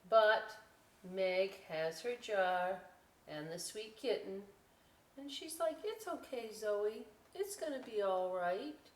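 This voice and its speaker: A woman's voice: mid-range voice, lively intonation, slow pace. A woman hosting a children's story time, reading a picture book aloud and chatting through the pictures in a conversational way. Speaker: librarian story time host. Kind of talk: picture-book read-aloud with casual asides. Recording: roomy, distant mic with clear noise.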